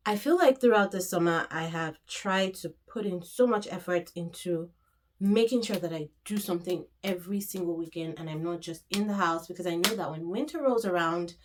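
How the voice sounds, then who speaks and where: A woman in her thirties, sniffly with a cold, chatting casually to the camera about her weekend and bags. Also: fairly low pitch. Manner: chatty, gesturing with her hands. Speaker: vlogger. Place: room in front of a handbag shelf. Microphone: camera in front.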